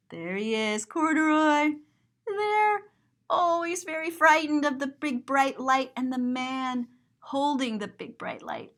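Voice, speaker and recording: A woman storyteller with a high, very lively voice reads a picture book to young children. She is expressive and gives simple explanations as she goes, taking her time. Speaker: storyteller, author and teacher. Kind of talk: children's read-aloud with explanatory asides.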